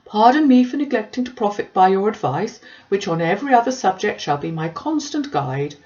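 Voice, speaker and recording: A woman reads as a self-important clergyman from a classic novel, wordy and obsequious. The delivery is eager and formal, lifted in pitch, on a slightly dull lo-fi recording. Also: expressive, brisk, warm tone, natural voice. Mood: pompous, lecturing. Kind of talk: audiobook character dialogue.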